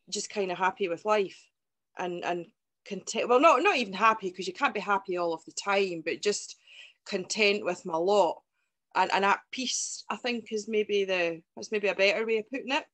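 Fast-talking Scottish woman in her forties. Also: mid-range voice, a bit bright. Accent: Scottish, Fife. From Scotland. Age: 40s. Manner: fast talker, rambling, reflective. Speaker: guest. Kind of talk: casual video-call interview. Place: indoor room at home. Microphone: video call.

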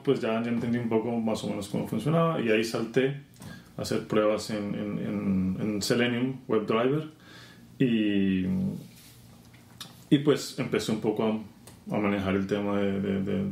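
Low gravelly Colombian man, roomy sound. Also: moderate pace. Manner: relaxed, many fillers and repeated words. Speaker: guest, software tester. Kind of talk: casual interview. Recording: roomy conference venue.

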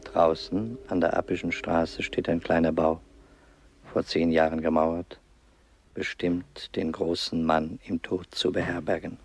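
An actor playing a solemn chronicler, narrating a scripted German-style radio play. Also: male voice, sits low, level tone, a little slow, gravelly, slightly rough, full character voice. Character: solemn male chronicler of a Roman funeral.

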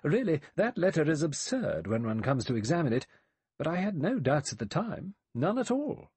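A man acts out a righteous old English spinster for an audiobook. The voice is raised and husky, with animated swings of pitch, and the delivery is precise, brisk, dry and sanctimonious. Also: dry recording, exaggerated voice. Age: older.

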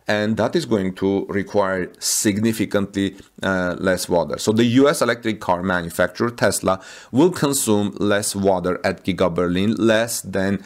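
A man reading out and commenting on news updates, with frequent fillers and restarts. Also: sits low. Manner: halting, with many fillers and restarts.

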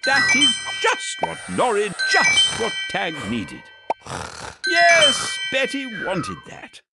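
A woman narrating a children's game in an encouraging, praising, sing-song way. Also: extremely high pitch. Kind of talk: scripted narration for young children. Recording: game audio over loud music.

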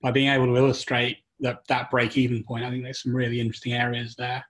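A man's voice: medium pitch, monotone, quick pace, fairly bright tone. A man, a returning co-host, explaining recent work in a casual way, with fillers. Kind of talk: casual discussion.

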